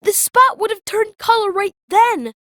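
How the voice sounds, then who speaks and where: A woman voicing an upset, rough-spoken young boy mage with streetwise, ungrammatical speech in an audiobook. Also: extremely high pitch, measured pace, pushed and bright, no room sound, clean studio sound, full character voice. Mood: distraught, pleading. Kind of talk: audiobook character acting.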